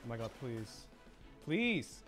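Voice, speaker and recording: Guy streamer thinking out loud while gaming, super animated pitch, music in the back. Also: slow. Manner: thinking aloud in short exclamations. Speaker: streamer playing a video game. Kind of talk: casual gaming commentary, reacting aloud. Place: livestream voice-over with game music and sounds behind.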